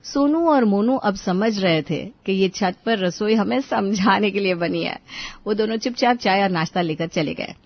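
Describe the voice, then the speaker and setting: A woman's voice: mid-range voice, normal speed, dry recording, dull audio. A woman narrating a scripted story as a storyteller.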